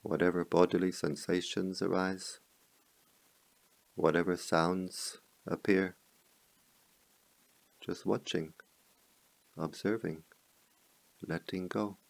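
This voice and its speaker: A man leading a guided meditation as a teacher, speaking in slow, measured phrases with pauses between them. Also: sits low, little pitch movement, gravelly, dry recording, rough recording.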